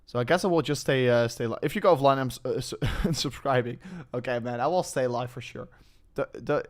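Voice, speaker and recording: A man's voice: medium pitch, fairly quick. A young man with a Dutch accent hosting a live crypto stream, rambling casually, often breaking off and restarting his sentences. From Netherlands. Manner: rambling, self-interrupting, hesitant with fillers. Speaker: crypto livestream host.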